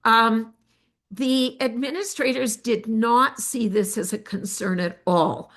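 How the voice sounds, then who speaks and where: Older lady presenting research, slow and gravelly, lots of ums. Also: fairly high pitch, fairly bright tone. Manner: measured, with frequent filler pauses. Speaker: education researcher presenting study results. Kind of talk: academic presentation.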